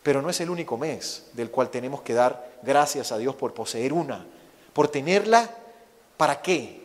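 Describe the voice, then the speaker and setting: A Venezuelan man with a Venezuelan Spanish accent, a missionary preaching and praying fervently, slowing to stress his words. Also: medium pitch, expressive. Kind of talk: sermon with opening prayer. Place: church sanctuary. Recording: long reverb of a church hall.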